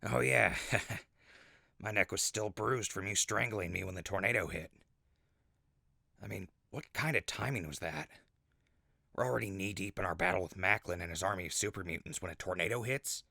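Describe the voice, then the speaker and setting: An actor playing a sardonic, laid-back ghoul survivor in an audio drama, raspy and gravelly, with a casual, teasing way of talking. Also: male voice, deep voice, moderate pace, sharp tone, dry recording, clean studio sound, exaggerated voice. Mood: animated disbelief. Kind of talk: post-apocalyptic audio drama acting.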